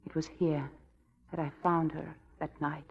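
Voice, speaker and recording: An actress playing an anxious, tender young wife in a 1940s radio drama, speaking with emotional hesitations. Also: female voice, deep voice, flat delivery, measured pace, husky, heavily acted. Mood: haunted, distressed. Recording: muffled 1940s radio broadcast with music.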